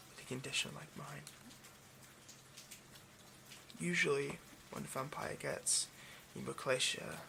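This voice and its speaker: Man acting a slow, gravelly possessive vampire. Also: fairly high pitch, slight background noise, exaggerated voice. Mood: hungry, fascinated. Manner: slow, hushed, domineering, often slipping into whisper. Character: possessive, condescending rich male vampire, obsessed captor. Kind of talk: intimate ASMR roleplay acting.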